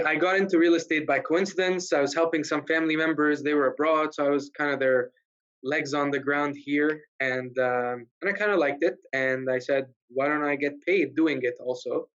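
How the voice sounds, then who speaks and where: Male guest from Egypt, easy storytelling, lo-fi remote line. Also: on the high side. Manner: relaxed storytelling with fillers "um" and "uh". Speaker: guest, realtor. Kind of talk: casual interview chat. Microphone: video call. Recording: dull lo-fi video call audio.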